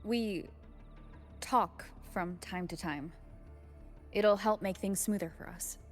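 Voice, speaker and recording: A woman voicing a composed, helpful young companion in a video game, calm and matter-of-fact. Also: medium pitch, slow pace, soft background music, natural voice.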